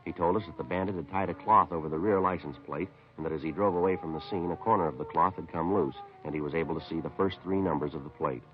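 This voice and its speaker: An actor playing a matter-of-fact police detective in a 1950s radio drama, clipped, deadpan and businesslike. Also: male voice, low voice, fast, hardly any pauses, faint music behind, close to an everyday voice. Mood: deadpan narrating. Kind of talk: terse police procedural narration and dialogue, 1950s radio. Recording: dull vintage 1950s radio recording.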